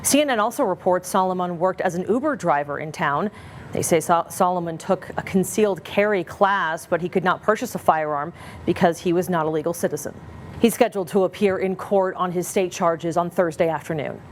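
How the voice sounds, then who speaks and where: Low-pitched American woman news anchor. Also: a little fast, slight background noise. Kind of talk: scripted news narration.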